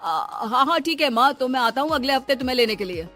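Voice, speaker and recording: एक महिला हिंदी कहानी में बेसब्र, रूखे जवान बेटे का किरदार निभा रही है, झिड़कने और टालने वाले लहजे में।. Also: female voice, high-pitched, animated, fast talker, a bit bright, soft background music. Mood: hesitant, persuading. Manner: curt, scolding, exasperated. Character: grown son, impatient, dismissive, cold toward his mother. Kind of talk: Hindi moral story dramatisation.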